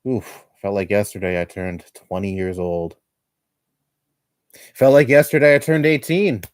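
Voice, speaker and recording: A man in his twenties chatting casually on a livestream at home, rambling and laughing softly between thoughts. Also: fairly low pitch, unhurried, smooth, no room sound, studio quality. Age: 20s. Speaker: livestreamer reading chat. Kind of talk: casual chat.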